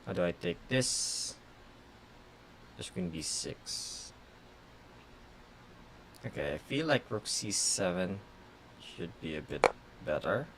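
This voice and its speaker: A chess grandmaster whose first language is Tagalog, streaming blitz games and thinking aloud in short, halting phrases full of fillers. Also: male voice, low-pitched, sing-song, creaky, background noise. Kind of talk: casual live-stream commentary.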